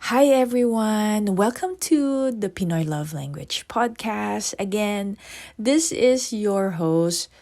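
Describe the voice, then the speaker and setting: Husky-voiced Filipina podcast host. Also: female voice, medium pitch, slow. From Philippines. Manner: chatty, asks listeners questions, animated asides. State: husky morning voice. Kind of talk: podcast monologue.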